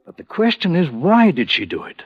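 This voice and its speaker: A man's voice: high voice, huge pitch swings, brisk, faint music behind. A man playing a formal, probing police inspector in a vintage radio drama, polite but pressing. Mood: brisk, concerned. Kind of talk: 1940s-50s radio drama acting. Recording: dull vintage radio recording.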